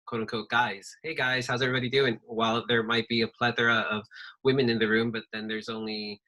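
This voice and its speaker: A man's voice: fairly low pitch, bright, forceful tone, poor audio. A man whose first language is Spanish, speaking conversationally with many fillers as a panelist in an online workshop over a video call.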